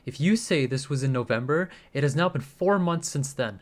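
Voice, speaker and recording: A man's voice: mid-range voice, expressive, moderate pace, natural voice. Radio drama role: hard-nosed male agent , played by a man, mid-range voice, scornful and accusatory, leaning on an informant under interrogation. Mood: suspicious, probing. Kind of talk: radio play acting.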